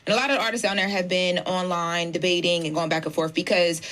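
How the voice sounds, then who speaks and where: A woman's voice: on the low side, steady tone, fast, fairly bright tone. A woman presenting entertainment news in a conversational way, careful and explanatory in how she phrases things. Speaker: radio show news presenter.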